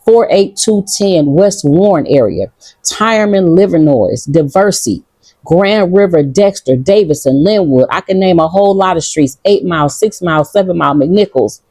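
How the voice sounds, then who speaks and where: A woman's voice: lowish voice, lively intonation, moderate pace, warm tone, no room sound. Blunt, defiant Detroit woman. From Detroit, United States. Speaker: vlogger. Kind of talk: casual talk to camera. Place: outdoors in Ghana.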